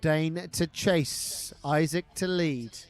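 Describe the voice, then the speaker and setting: A man calling a live drift competition, excitable, with jokey asides between calls. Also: on the high side, slow pace, faint music behind. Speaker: drift event commentator. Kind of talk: live motorsport commentary.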